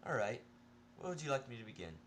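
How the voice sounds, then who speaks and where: A man's voice: medium pitch, sing-song, quick pace, a bit bright, pitched above the performer's own voice. A man voicing a sarcastic, irritable male patient locked in an asylum, in an amateur story reading with background noise. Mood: sly.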